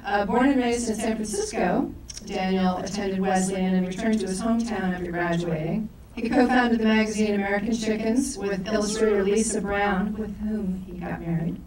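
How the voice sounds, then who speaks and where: Woman emcee, mid-pitched, distant in a very echoey hall, reads bios and trips over words. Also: steady tone, moderate pace, hardly any pauses, darkish tone, a little hiss or hum. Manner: reads bios with stumbles and self-corrections. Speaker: festival co-director introducing speakers. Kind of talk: live stage introduction. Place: large echoey event hall.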